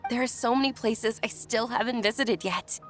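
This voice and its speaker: A young noble lady voiced by a woman with a fairly high voice. She is sweet, caring and insistent, speaking earnestly as in an anime game, with music behind her. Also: normal speed, close to an everyday voice. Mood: pleading. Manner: polite, earnest, pleading.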